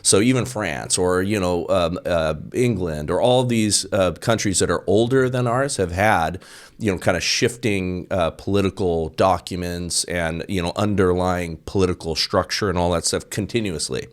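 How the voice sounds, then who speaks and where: Very deep-voiced emphatic man. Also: moderate pace. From United States. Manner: emphatic, tags points with "okay?" and "right?". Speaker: guest. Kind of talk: casual political conversation.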